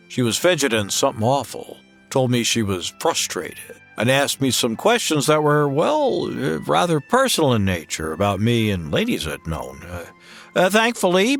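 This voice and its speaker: A man playing an easygoing, soft-spoken drifter cowboy who hesitates and stammers in a drawl. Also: mid-range voice. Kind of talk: old-time radio western.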